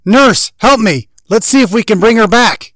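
A man's voice: high voice, moderate pace, fairly bright tone. A man playing a desperate doctor in an audio drama, urgent and commanding in an emergency.

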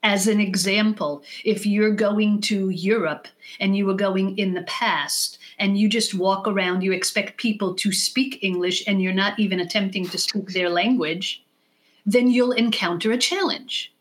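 Woman explaining in deliberate, emphatic phrases. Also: mid-range voice, steady tone. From born in the United States, raised in Israel from age six. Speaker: guest expert on leadership. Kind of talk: podcast interview, explanatory advice.

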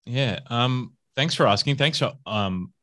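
A man's voice: mid-range voice, animated, unhurried, a bit bright. A man with an Australian accent, a podcast guest talking casually and upbeat, with lots of fillers and restarts. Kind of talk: casual conversational interview.

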